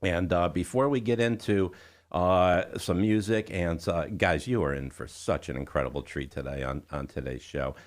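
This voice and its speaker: A man's voice: low-pitched, normal speed. A man hosting a music radio show, chatting informally with listeners and guests with a showman's patter. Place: radio studio.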